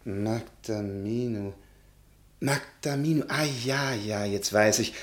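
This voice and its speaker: An actor playing a gentle, absent-minded old professor with a hesitant, mild manner in an old radio play. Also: male voice, lowish voice, slow pace. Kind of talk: 1950s radio play acting.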